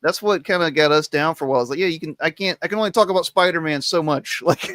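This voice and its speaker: A man hosts a relaxed online roundtable, chatting loosely and laughing often. His voice sits a little high. The sound is dry and close, with no room echo. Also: fast. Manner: rambling, laughs often, filler-heavy. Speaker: host of a comics stream. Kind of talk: casual roundtable chat. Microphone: remote stream mic.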